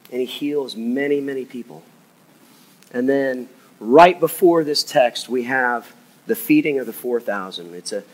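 A man of middle pitch preaches to his church as its assistant pastor. His voice is a little hoarse from a cold. He talks earnestly and warmly to the congregation. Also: low-quality recording. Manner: earnest, drops to a hushed, soft voice in prayer. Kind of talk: sermon and prayer. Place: church sanctuary.

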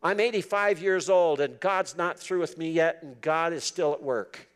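A man's voice: moderate pace, clear, resonant tone, lightly acted. An older man voicing a bold eighty-five-year-old warrior who taunts fearful young men. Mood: defiant. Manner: taunting, emphatic, higher-pitched.

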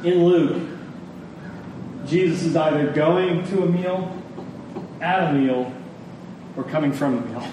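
A man with a fairly high voice delivers an earnest sermon, leaning on key phrases and pausing deliberately. He is recorded at a distance in a very reverberant room, with faint noise underneath. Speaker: preacher. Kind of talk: sermon to a congregation.

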